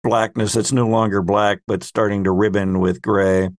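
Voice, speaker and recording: A man's voice: fairly low pitch, level tone, moderate pace, no echo. A man working as game master, narrating a tabletop roleplaying game in a dramatic storytelling style.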